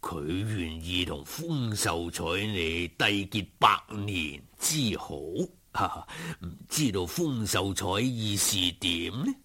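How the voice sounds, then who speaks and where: A genial old gentleman from a storybook, with a deep voice far below normal register. The words come slowly and courteously, with an old-world politeness and a husky grain. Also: expressive, exaggerated voice. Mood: genial, proposing. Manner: unhurried, old-fashioned courteous speech. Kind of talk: storybook character acting.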